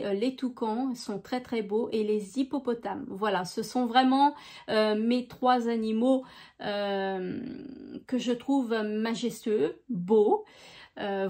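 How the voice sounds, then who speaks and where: Slow-talking high-voiced French woman. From France. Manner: slow, gentle and chatty, with many hesitations. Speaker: YouTube crafts channel host. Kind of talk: casual FAQ video answering viewer questions.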